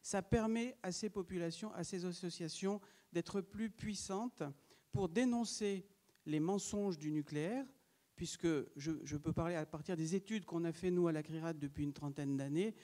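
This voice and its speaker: This is a man, speaking to a conference audience. He presses his points hard and with emphasis. His voice is higher than most men's and sounds dark. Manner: insistent, emphatic. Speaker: panel speaker from a radiation monitoring group. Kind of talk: public talk in a debate.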